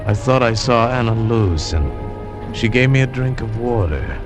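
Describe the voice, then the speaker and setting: An actor playing an earnest, determined adventurer who tells his tale in measured, dramatic old-time radio style. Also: medium pitch, expressive, music in the background, close to an everyday voice. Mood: dazed, hushed. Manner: dramatic, measured first-person storytelling. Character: wounded adventurer hero recounting his treasure hunt, earnest and determined.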